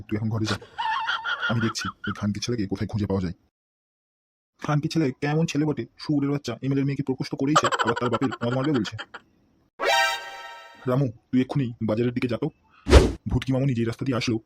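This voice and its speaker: A man plays a vengeful cartoon political boss. He rattles out menacing orders and curses very fast, at a middling pitch, over prominent music. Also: natural voice. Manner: menacing, cursing, bossy. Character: vengeful, foul-mouthed local politician and protective father. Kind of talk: amateur comedy cartoon voice-over. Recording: music behind the voice.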